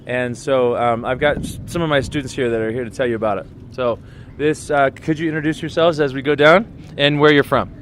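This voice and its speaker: A man's voice: mid-range voice, fairly even intonation, a little fast, a little hiss or hum. A man hosting a casual on-location interview outdoors, speaking as an informal, encouraging teacher. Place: outdoors in a city.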